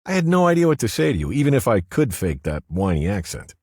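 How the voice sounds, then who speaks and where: A sharp-tongued ghostly woman, once a gym teacher, voiced by a man in an audiobook. Her voice sits well above the reader's own, husky and swinging in pitch as she teases. The read is dry and close. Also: sing-song, dry recording. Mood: exasperated. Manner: teasing, quick, sharp-tongued. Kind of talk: audiobook character voice.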